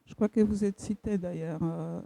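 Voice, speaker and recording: A woman from Poland in the audience asking a question, speaking hesitantly with many pauses and restarts. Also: fairly low pitch, steady tone, vocal fry, dark tone, a little hiss or hum. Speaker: audience member.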